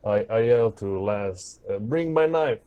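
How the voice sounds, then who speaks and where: A man's voice: fairly low pitch, lively intonation, measured pace, mellow, clear, resonant tone, no room sound. A man casually playing a tabletop game, announcing his character's actions and speaking in character.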